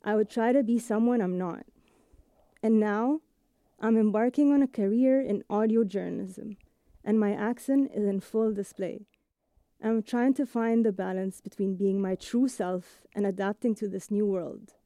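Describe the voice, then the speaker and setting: Mellow-voiced woman with a blended accent. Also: mid-range voice, no room sound. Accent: blend of British and American English with Egyptian and Italian touches. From Egypt. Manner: measured, reflective narration. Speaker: audio journalist. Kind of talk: scripted first-person radio essay.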